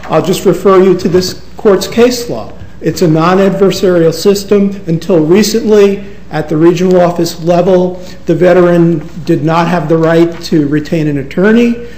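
50s guy lawyer in court, high but mellow voice, lots of uh pauses, echoey. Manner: halting, many pauses and fillers. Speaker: attorney arguing rebuttal. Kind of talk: courtroom oral argument. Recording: echoey courtroom with faint hiss.

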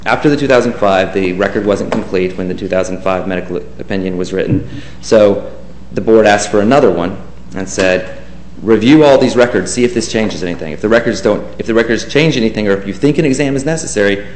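A man's voice: on the low side, brisk, hardly any pauses, darkish tone. A man giving a formal, deferential courtroom argument as an attorney. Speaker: government attorney. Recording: echoey courtroom with faint hiss.

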